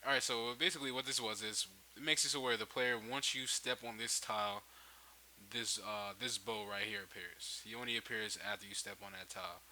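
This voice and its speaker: A man giving a casual, unscripted software tutorial, thinking aloud and backtracking as he explains. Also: mid-range voice, a little fast, rough recording. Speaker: tutorial host. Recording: hissy home microphone, noticeable background noise.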